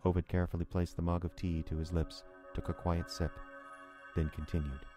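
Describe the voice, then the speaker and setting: An extremely deep-voiced man acting as a solemn storyteller in an audio drama. His delivery is flat, grave and unhurried, with music clearly behind him. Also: lightly acted. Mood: calm, solemn. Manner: measured, grave. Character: solemn, unhurried male narrator of a horror tale. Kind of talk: scripted audio drama narration.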